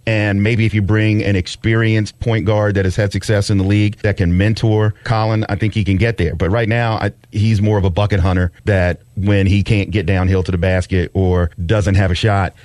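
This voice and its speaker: A man's voice: fairly low pitch, little pitch movement, moderate pace, hardly any pauses, darkish tone, no room sound. A man hosting sports talk radio, giving opinionated, conversational, unscripted commentary. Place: dry radio studio.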